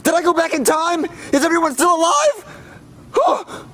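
A man's voice: very high-pitched, very animated, unhurried, a bit bright. A young man voicing a bewildered plush hero in a comic puppet skit at home, exclaiming in confusion. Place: suburban house indoors.

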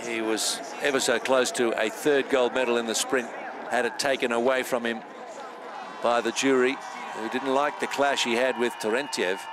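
Male race commentator, mid-pitched, steady measured delivery, noisy outdoor broadcast feed. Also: crowd noise. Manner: measured, steady play-by-play with filler pauses. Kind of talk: live sports commentary.

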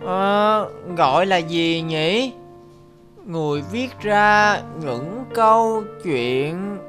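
A woman's voice: mid-range voice, expressive, drawn-out words, a bit bright. A woman voicing a curious, eager little brother in a children's cartoon, full of questions. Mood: puzzled, curious. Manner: eager, inquisitive. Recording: music under the dialogue.

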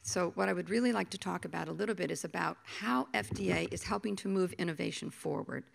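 A middle-aged woman giving a conference talk at a podium, hoarse from a cold, halting and repeating words as she thinks. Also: on the low side. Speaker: panel speaker, agency official. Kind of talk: conference presentation. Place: conference hall stage, at a podium. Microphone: podium microphone.

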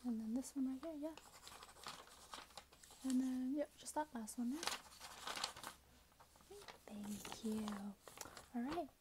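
Casting: woman, soft-spoken and whispery ASMR roleplayer, slightly high pitch, faint noise with typing behind. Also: slow, long pauses. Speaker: ASMR creator playing a robot companion clerk. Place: small plain room indoors. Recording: hissy background noise, keyboard typing. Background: keyboard typing and clicks.

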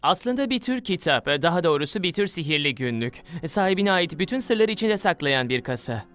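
A woman playing an earnest young rebel ally in an animated series dub, explaining things expressively. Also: fairly low pitch, brisk. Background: music.

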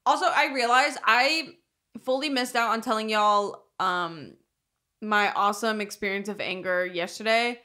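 An American woman in her twenties chatting casually as a podcast co-host, telling stories in an animated, slangy, sweary way. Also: medium pitch, a little slow. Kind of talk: casual chat, storytelling. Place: small room indoors. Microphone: podcast microphone on boom arm.

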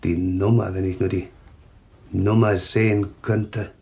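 Man acting a low-voiced, cryptic thriller stranger. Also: little pitch movement, vocal fry, dark tone. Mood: horrified trance. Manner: composed, cryptic, deliberate. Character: calm, eerily taunting man claiming visions of deaths. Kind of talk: German radio thriller acting.

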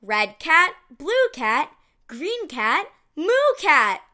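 Animated high-pitched woman reading to children. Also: drawn-out words. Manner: encouraging, exclamatory, simple short phrases. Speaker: storybook narrator. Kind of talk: scripted read-along narration for young children.

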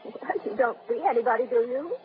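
An actress playing a composed, quick-witted young woman in a 1930s radio drama, heard on an old broadcast recording. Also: a little high, phone-quality sound, a little hiss or hum, natural voice. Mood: coy.

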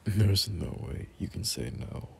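A man playing a gentle, caring boyfriend at bedtime in an intimate ASMR roleplay, speaking softly in a breathy half-whisper with hesitant pauses. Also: low-pitched, animated, slight background noise, exaggerated voice. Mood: soothing, concerned. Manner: soft, slow, hesitant, reassuring.

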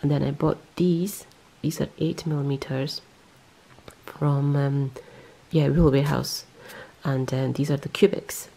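Woman, low dark gravelly voice, rambling self-correcting show-and-tell. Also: steady tone, measured pace, low-quality recording. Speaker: knitting vlogger. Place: indoors, close-up on hands and yarn.

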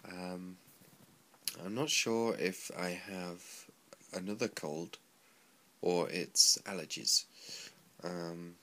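A young man with a stuffy nose and a cold-lowered voice, rambling casually with many ums in a video diary recorded on a close webcam in a small room. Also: extremely slow, long pauses. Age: young adult. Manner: relaxed, rambling, frequent ums. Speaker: English teacher vlogger. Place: small room with sliding paper screens, indoors. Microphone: laptop webcam close up.

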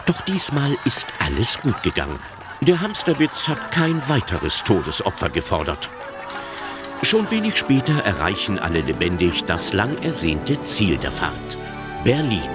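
A male narrator tells a comic radio story with wry drama. His voice is low and very expressive, with music playing behind it. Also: measured pace. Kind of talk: scripted comedy radio play narration.

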